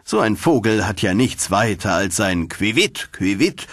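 A man's voice: lowish voice, very animated, a little slow, a bit bright, no room sound, studio quality, heavily acted. A man voicing a stern, scornful, self-satisfied male mole in a fairy tale.

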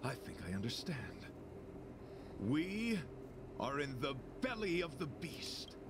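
An earnest, courtly knight from a video game. His speech is formal, solemn and poetic, swinging widely in pitch, over noisy ambience. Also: very animated, takes it slowly. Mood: grave, thoughtful.

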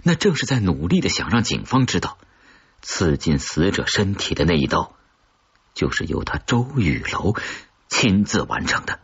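A man reads horror fiction in a deep, dark-toned storyteller's voice. His delivery is brooding and dramatic, with a husky edge. The recording is dull and lo-fi. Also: big pitch swings, normal speed. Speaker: audiobook narrator of horror fiction. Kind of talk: scripted suspense narration. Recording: dull, muffled lo-fi audio.

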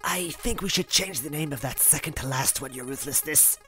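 A man voicing a stern, wrinkled female attendant to a monster king, deadpan and formally scolding. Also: mid-range voice, brisk, faint music behind. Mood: hushed, correcting.

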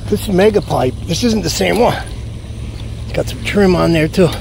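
A man gives a chatty running commentary, exclaiming over each find and talking to his viewers. His voice sits a little high. He is recorded outdoors on a body-worn action camera, with clear wind and water noise behind him. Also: normal speed, a little mellow. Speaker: magnet fishing vlogger. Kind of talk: casual running commentary while magnet fishing. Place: outdoors at a city pond edge. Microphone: chest-mounted action camera.

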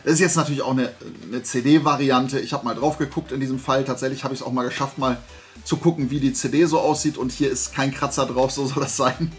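A man's voice: medium pitch, fairly even intonation, fairly quick, faint music behind. A middle-aged man chatting casually to camera as a collector presenting his finds, rambling and often interrupting himself. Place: indoor room lined with shelves of collectibles.

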